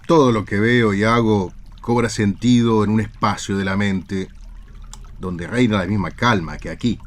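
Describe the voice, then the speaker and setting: An actor playing a calm, contemplative traveller and storyteller in a literary radio drama, speaking in a measured way. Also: male voice, fairly low pitch, slight background noise, natural voice.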